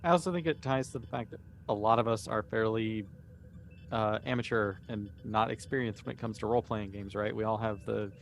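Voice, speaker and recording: A man's voice: on the low side, brisk, vocal fry. A man in a casual panel chat as a cast member, explaining things thoughtfully. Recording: faint background noise.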